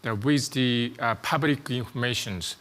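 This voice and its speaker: A man's voice: medium pitch, a little slow, fairly bright tone. A man answering as a panelist, hesitant and full of fillers.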